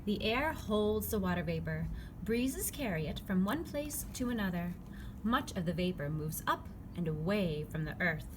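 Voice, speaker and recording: Woman, children's read-aloud, lively melodic intonation, medium pitch, clear simple phrasing, noisy background ambience. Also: moderate pace. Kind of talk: children's picture-book read-aloud, scripted.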